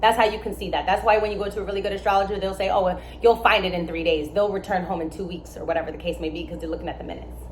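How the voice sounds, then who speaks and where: A young adult woman, born in Germany and raised in America, explaining astrology in a casual, emphatic way with lively asides. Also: fairly low pitch, rapid-fire, slight background noise. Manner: animated, emphatic, with asides and laughs. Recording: echoey room with faint ambience.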